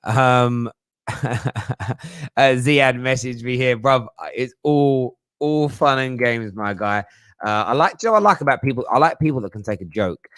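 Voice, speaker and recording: A man hosts a live show, calling people mate and bruv and hammering his points home. His voice sits at a middle pitch. The recording is dry and close. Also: a little slow, fairly bright tone, dry recording. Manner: matey, emphatic, slang-heavy. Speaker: host. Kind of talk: casual live show chat.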